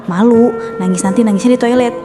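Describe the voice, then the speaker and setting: A woman's voice: fairly high pitch, quick pace, natural voice. Shy bullied schoolgirl thinking aloud, reverb-drenched over a music bed, played by a woman. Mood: ashamed. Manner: timid, whispery inner monologue. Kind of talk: cartoon voice acting.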